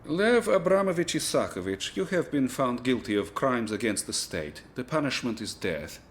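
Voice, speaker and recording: A man's voice: medium pitch, very animated, normal speed, slight background noise, lightly acted. A man playing a formal Soviet tribunal officer. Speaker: military tribunal officer reading a sentence.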